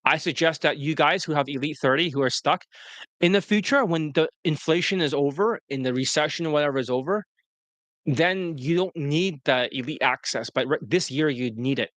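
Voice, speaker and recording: A brash man running his dating-coaching talk with loud profanity and scorn for his followers. His voice sits a bit high, recorded close and dry with no room sound. He often lurches into shrill mocking impressions of students. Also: normal speed, no echo. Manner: brash, profane, mocks students in high-pitched quoted whines. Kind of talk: blunt promotional coaching talk.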